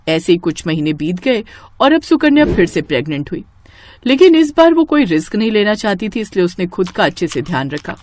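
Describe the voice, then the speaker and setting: A woman narrating a scripted moral story in an expressive, dramatic storytelling manner. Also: on the low side.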